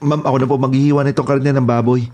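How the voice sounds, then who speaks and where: An actor playing a polite, eager young houseboy, earnest and deferential, in a horror audio drama. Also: male voice, medium pitch, level tone, quick pace, darkish tone, slight background noise, close to an everyday voice. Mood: apologetic, insistent.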